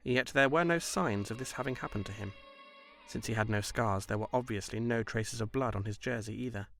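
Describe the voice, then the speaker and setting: A man reading scripted story narration in a measured, literary delivery. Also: on the low side, fairly bright tone, faint music behind.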